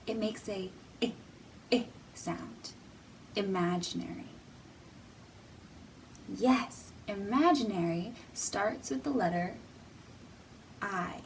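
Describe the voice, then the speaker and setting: A woman hosting a children's storytime, speaking in simple, deliberate, teacherly phrases with pauses and questions for young viewers. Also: medium pitch, gravelly, recorded from a distance, a little hiss or hum, low-quality recording. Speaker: children's library storytime host.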